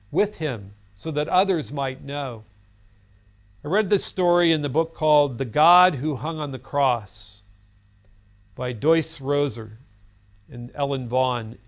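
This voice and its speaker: A man in his fifties giving a recorded seminary lecture in a studio classroom set, speaking earnestly and telling a story on a lapel mic. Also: medium pitch. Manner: earnest, storytelling, gestures while talking.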